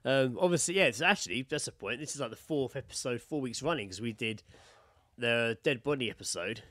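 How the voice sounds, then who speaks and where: A man's voice: mid-range voice, lively intonation, brisk, a bit bright, no echo. A British man hosting a podcast in casual, chatty, sweary banter. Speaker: podcast host.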